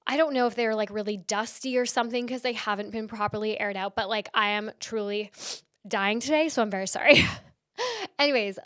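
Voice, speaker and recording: A woman's voice: on the high side, fairly bright tone, clear, resonant tone, no echo, studio quality. A woman in her early thirties hosting a casual solo podcast, chatty and full of fillers, sniffly and stuffed up from hay fever. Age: early 30s. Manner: chatty, heavy use of "like". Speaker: podcast host.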